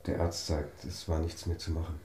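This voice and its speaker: A man's voice: extremely low pitch, level tone, moderate pace, dark tone. A man acting in a radio drama as a grave, apologetic husband. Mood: grave, sorrowful. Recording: roomy, distant, faint hiss.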